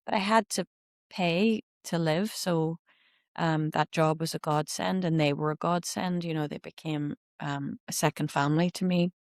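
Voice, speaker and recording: A woman's voice: low-pitched, normal speed, dry recording. Belfast irish woman, 50s, chatty and candid, lots of little quoted bits, dry close sound. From Northern Ireland. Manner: candid, animated storytelling with quoted asides. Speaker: guest. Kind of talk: reflective interview conversation.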